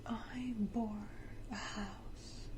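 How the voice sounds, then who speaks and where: A woman voicing a proud, condescending vampire matriarch in a breathy, deliberate ASMR whisper, with theatrical pauses and close to the microphone. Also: fairly high pitch, fairly even intonation. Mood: tender, proud. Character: powerful female vampire matriarch, menacingly tender, proud, condescending.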